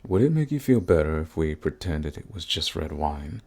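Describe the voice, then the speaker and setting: Seductive male vampire lord, played by a man, very low and husky, courtly menace, purring sadist for ASMR roleplay. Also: big pitch swings, normal speed, darkish tone, heavily acted. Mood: mocking, menacing. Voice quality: gravelly, husky. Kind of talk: ASMR roleplay, scripted monologue to the listener.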